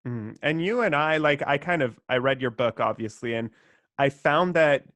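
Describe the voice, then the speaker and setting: Casual Canadian podcast host, dry sound. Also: male voice, mid-range voice, lively intonation, moderate pace, fairly bright tone, no room sound. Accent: Canadian English. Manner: casual, rambling questions. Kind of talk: podcast interview.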